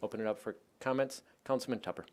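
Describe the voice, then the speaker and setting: An American man speaking as a mayor, running formal council procedure in a brisk, businesslike way. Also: on the low side, slight background noise. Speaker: mayor chairing a city council meeting.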